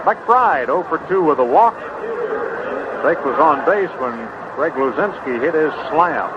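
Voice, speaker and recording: High-voiced baseball announcer, very noisy old radio. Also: male voice, expressive, measured pace. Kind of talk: live radio sports commentary. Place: stadium broadcast booth. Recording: muffled, very noisy vintage radio broadcast.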